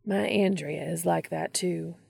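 A woman's voice: lowish voice, steady tone, unhurried, vocal fry, a little mellow, slightly rough, dry recording, clean studio sound, full character voice. A woman voicing a burly, kindly male mechanic in a storybook narration, with an easygoing, folksy, reassuring manner.

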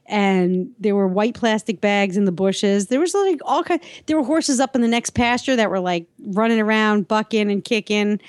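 A woman's voice: mid-range voice, expressive, moderate pace, few pauses, no echo, clean studio sound. A woman with an American accent chatting casually as a podcast co-host. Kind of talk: casual chat.

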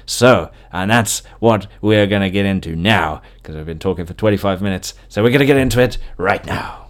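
A man with a fairly low voice hosts a casual solo podcast. He rambles in a jokey, informal way, cutting into his own sentences as he goes. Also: brisk. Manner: rambling, jokey, self-interrupting. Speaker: podcast host.

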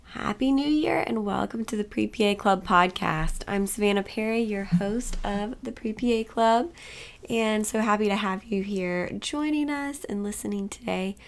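Woman podcast host with a cold and a gravelly voice. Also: mid-range voice, unhurried. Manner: upbeat, chatty, with fillers like "uh" and "like". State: has a cold, voice strained. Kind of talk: podcast intro and announcements.

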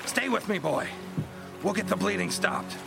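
An actor playing a tender, desperate fatherly officer in a seafaring audio drama, with a gravelly voice. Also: sits high, expressive, moderate pace, over music, exaggerated voice. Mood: tender, urgent. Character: tender, desperate older male officer comforting dying boy.

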